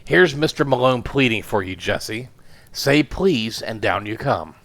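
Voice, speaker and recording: A man doing a rumbling, mocking bully professor. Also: animated, a little slow, a bit bright. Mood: gruff mocking. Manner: rumbling, sardonic. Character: gruff bullying male professor, mocking and domineering.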